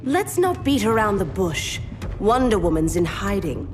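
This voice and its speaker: A taunting, vicious cat villainess. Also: female voice, mid-range voice, sing-song, unhurried, a little mellow, a little hiss or hum. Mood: taunting, sly. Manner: taunting, predatory. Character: sly, vicious female cat villain, hunting her enemy. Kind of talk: video game voice acting.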